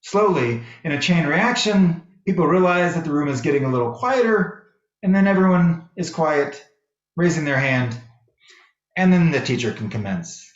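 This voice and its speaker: A middle-aged American man giving a sermon as a minister, telling personal stories deliberately, phrase by phrase. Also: on the high side, animated. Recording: roomy, echoey, slightly dull sound.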